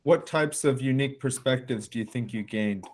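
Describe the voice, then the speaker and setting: Man hosting an interview, medium pitch. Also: normal speed, a little mellow. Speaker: podcast host. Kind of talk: interview questioning.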